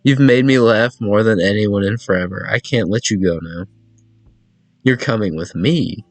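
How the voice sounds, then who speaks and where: A man's voice: fairly low pitch, moderate pace, no echo. A man voicing a flirty, ominous female entity from a visual novel game, coy and teasing. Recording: music behind a dry voice.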